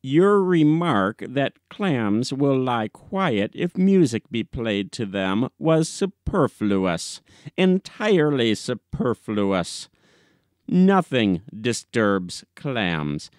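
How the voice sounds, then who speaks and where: A man's voice: mid-range voice, big pitch swings, extremely slow, darkish tone, dry recording. Male reader as exasperated newspaper chief, lofty, emphatic, scolding, clean resonant voice, dry audiobook sound. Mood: scornful. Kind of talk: dramatic audiobook reading of classic comic short story.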